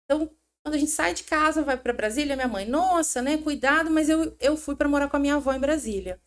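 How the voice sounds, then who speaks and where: A middle-aged woman from São Paulo, a podcast guest telling stories in an animated, chatty way. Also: sits high, fast talker. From São Paulo, Brazil. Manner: animated, chatty, self-interrupting storyteller. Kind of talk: casual interview chat.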